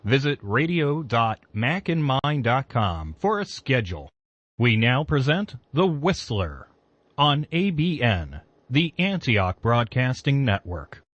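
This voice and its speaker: A man's voice: mid-range voice, big pitch swings, takes it slowly, a bit bright, no echo. A man works as a radio station announcer, reading scripted continuity. His intonation moves up and down a lot. The sound is very dry, with a muffled, lo-fi top end.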